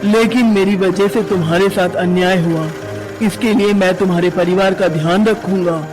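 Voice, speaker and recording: A man's voice: high-pitched, steady tone, normal speed, natural voice, low-quality recording. A man playing a kind-hearted, easily frightened driver in a horror story, speaking in an earnest, pleading way. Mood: remorseful pleading. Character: kind, terrified male driver pleading for his life. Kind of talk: dramatized Hindi horror story. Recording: loud music bed behind voice.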